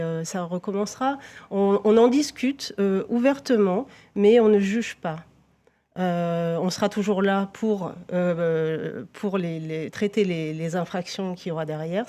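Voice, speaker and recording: Woman police victim-support officer, TV talk show guest, explanatory, frequent restarts, mellow dark voice. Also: mid-range voice.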